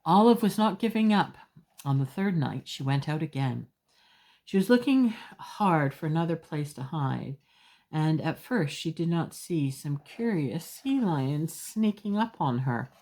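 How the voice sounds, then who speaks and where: Female picture-book reader, lower register, leisurely and expressive, speaking simply and emphatically to small children. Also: measured pace. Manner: simple, emphatic phrasing with small asides to young listeners. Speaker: children's storyteller.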